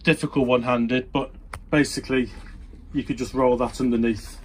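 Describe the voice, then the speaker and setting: A man's voice: mid-range voice, steady tone, normal speed. A middle-aged British man talking casually and unscripted, with some hesitation, as he demonstrates something hands-on in a small workshop. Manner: unscripted, hesitant, thinking aloud. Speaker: hobbyist presenter. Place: small wooden workshop, indoors. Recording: noisy room ambience, handheld camera. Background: clear background noise.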